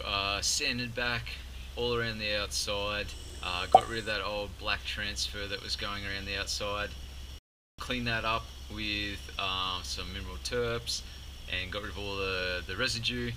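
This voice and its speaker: A man in his thirties hosting a sailing vlog in a casual narrating style, recorded with outdoor noise. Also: on the low side. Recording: clear outdoor background noise.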